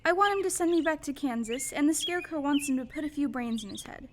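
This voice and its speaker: A woman's voice: high-pitched, expressive, quick pace. A woman playing a sweet, polite, curious young farm girl in a stage audio play. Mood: eager. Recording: noisy live stage recording.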